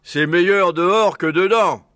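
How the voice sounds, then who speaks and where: A man voicing a hearty, blunt male badger with a drawn-out, emphatic delivery in a storybook reading. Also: high voice, fairly bright tone, dry recording, studio quality, pitched above the performer's own voice. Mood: agreeing. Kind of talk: storybook character voice.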